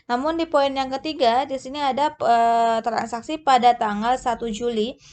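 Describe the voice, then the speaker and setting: A woman with a fairly high voice explains material as a tutor. Her delivery is informal and instructional, moving step by step with a slightly hesitant, halting flow. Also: steady tone, a little mellow. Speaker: accounting tutor.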